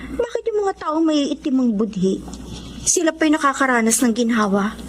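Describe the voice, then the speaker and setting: Radio drama role: young housemaid played by a woman, high voice, emotive and complaining, hardworking yet resentful; noisy recording. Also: natural voice. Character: young woman domestic worker abroad, hardworking, bitter, resentful. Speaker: drama actor. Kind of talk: Tagalog radio drama acting.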